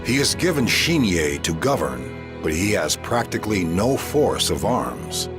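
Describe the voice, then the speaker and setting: A man's voice: low-pitched, big pitch swings, a little slow. A man giving grave scripted narration in a video game. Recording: game audio with loud music.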